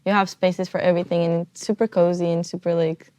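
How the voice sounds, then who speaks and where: A young woman from Portugal, a student, talking in a relaxed, chatty way with many fillers. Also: on the low side, fast talker, a little hiss or hum. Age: young adult. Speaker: student guest. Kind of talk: casual chat.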